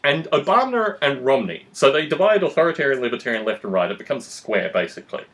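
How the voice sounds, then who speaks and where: Guy podcast host explaining stuff at length, analytical, chatty, middle-pitched voice. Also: big pitch swings, fast, fairly bright tone. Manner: analytical, long-winded, explanatory. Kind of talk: conversational political discussion.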